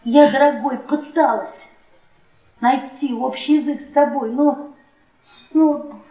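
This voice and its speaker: A woman's voice: high voice, fairly even intonation, slow pace, dark tone. An actress playing a domineering, scornful middle-aged wife in a radio play, taunting and dramatic in her delivery. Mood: bitter resignation. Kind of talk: theatrical radio play acting. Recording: echoey room, distant from mic.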